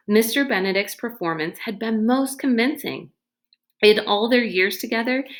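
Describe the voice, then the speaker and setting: A woman narrating a storybook aloud expressively, as a reader does. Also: medium pitch.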